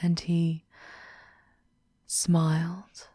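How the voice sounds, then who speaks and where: A woman playing a world-weary demon queen in a scripted ASMR roleplay, speaking softly and formally, often slipping into breathy whispers. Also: fairly low pitch, monotone, dry recording, clean studio sound. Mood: wistful, hushed. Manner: soft, breathy, slow and deliberate, often dropping to whispers; formal, archaic theatrical diction. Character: regal, world-weary demon queen guiding a lost wanderer.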